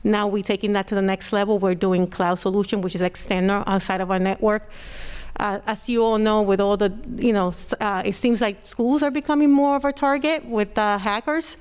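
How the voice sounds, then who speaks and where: A woman with a clear, smooth, resonant voice gives a technology report to a school board. She speaks at a middle pitch and pauses often with "um" and "uh". She stands a little back from the microphone in a meeting room. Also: fairly even intonation. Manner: hesitant, many fillers; naturally loud voice. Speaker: district technology director. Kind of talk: presenting a report at a public meeting. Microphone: standing microphone, a little far off.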